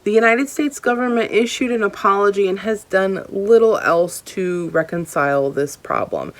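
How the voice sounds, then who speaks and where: Young female book vlogger, low pitch, opinionated and insistent, casual delivery. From Hawaii. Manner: emphatic, opinionated, repeats phrases.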